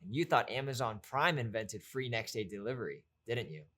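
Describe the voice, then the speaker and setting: A man's voice: medium pitch, big pitch swings, brisk, a bit bright. A man hosting a show, reading a scripted introduction with a lightly joking manner. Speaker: podcast host.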